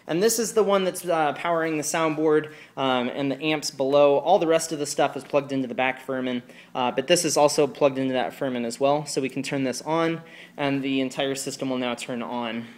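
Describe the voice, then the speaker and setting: A man presenting equipment in an informal hands-on walkthrough, speaking hesitantly and pausing mid-sentence. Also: medium pitch. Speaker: presenter demonstrating church sound equipment. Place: carpeted indoor room. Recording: clear background noise, handheld camera mic.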